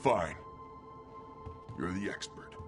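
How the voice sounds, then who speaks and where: A man's voice: sits low, lively intonation, slow pace. A reckless, bragging treasure hunter in a fantasy game. His theatrical voice swings widely, with music behind it. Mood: indignant, sulky. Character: boastful, reckless treasure hunter hungry for glory. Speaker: voice actor. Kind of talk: video game cutscene acting.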